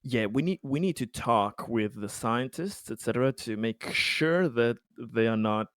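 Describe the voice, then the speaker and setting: A man chatting casually as a tabletop game player, halting and wry, swearing freely. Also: medium pitch, expressive, a bit bright, no echo, clean studio sound.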